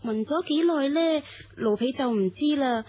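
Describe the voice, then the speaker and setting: High-voiced devoted maidservant played by an actress. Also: female voice, normal speed, dark tone, natural voice. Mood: flustered. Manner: pleading, fussing, fluttery. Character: devoted young maidservant, anxious and deferential. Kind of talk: Cantonese radio drama acting.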